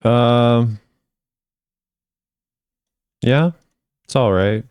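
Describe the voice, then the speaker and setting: A man's voice: medium pitch, extremely slow, a little mellow, smooth, no room sound, clean studio sound. A man hosting a video, giving casual commentary as he ranks game voice lines.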